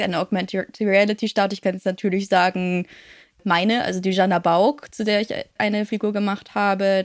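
Zögernde junge Kunststudentin, trockener Klang. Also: female voice, mid-range voice, dry recording, clean studio sound. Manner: hesitant, searching for words. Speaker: guest, art student. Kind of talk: casual podcast interview.

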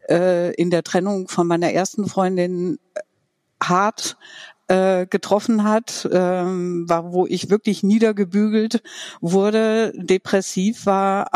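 A woman in her fifties from Franconia, a podcast guest speaking over a video call in a thoughtful, halting way with many fillers. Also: lowish voice, darkish tone, no room sound, studio quality. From Franconia, Germany. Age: 50s. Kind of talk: personal interview conversation.

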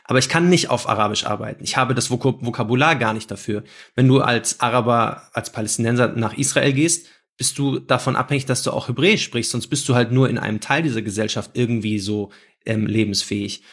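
A man's voice: medium pitch, fairly quick, a bit bright. A man co-hosting a conversational podcast, a journalist who thinks aloud in a reflective way.

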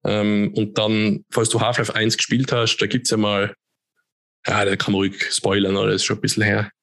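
A man, co-hosting a podcast in relaxed, rambling casual chat. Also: fairly low pitch, moderate pace, creaky, no echo. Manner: relaxed, chatty, rambling with fillers. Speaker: podcast co-host.

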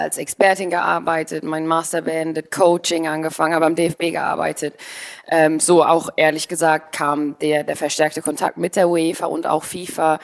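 Low, flat-voiced woman from the Palatinate. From Palatinate, Germany. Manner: thoughtful, searching for words. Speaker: guest, former footballer. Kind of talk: casual interview.

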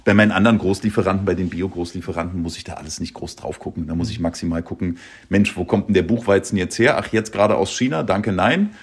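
A man's voice: low voice, fast talker, slightly rough. A man who owns a small shop, explaining his products in casual chat while walking through the shop, with lots of fillers and tag questions. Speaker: shop owner giving a tour.

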